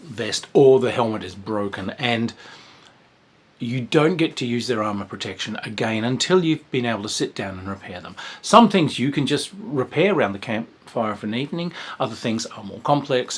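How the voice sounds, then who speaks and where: A man's voice: medium pitch, slightly rough. A man giving a casual spoken review of a tabletop game, chatty and meandering, with frequent ums and restarts.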